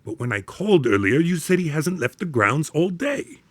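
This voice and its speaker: Male gentleman doctor actor for radio drama: courteous, concerned, formal, expressive, dry sound. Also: a little high, moderate pace, dry recording. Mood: formal, probing.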